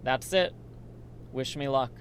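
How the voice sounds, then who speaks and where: A man playing a steady, reassuring survivor and research partner in a horror radio drama, speaking earnestly. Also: mid-range voice, slow pace, a little hiss or hum, natural voice. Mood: businesslike.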